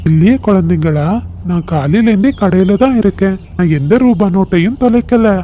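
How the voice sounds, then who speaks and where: A woman voicing a calm, kindly male uncle bird in a children's cartoon. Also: medium pitch, fairly quick, a little hiss or hum, pitched below the performer's own voice, natural voice. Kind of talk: children's cartoon story acting.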